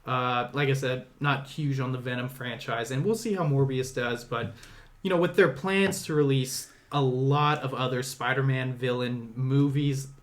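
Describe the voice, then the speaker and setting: A man's voice: mid-range voice, fairly even intonation, moderate pace. A male podcast host with a mid-range voice. He chats casually about movies in a conversational manner and keeps the discussion moving.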